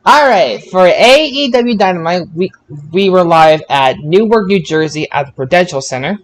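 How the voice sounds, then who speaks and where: Man, slightly high pitch, podcast co-host, informal bantering recap style. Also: expressive, moderate pace, a little hiss or hum. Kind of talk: casual wrestling show recap.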